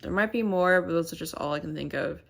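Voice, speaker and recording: A woman sits at home chatting straight into her camera mic, her voice low and a little stuffy and nasal. She rambles, pausing and hunting for words as she goes. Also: gravelly. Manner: rambling and halting, many fillers. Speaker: channel host. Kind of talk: casual to-camera vlog chat.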